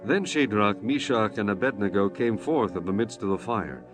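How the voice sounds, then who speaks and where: A man with a low voice narrates scripture. He is measured, solemn and formal, reading from a script, with a faint music bed underneath.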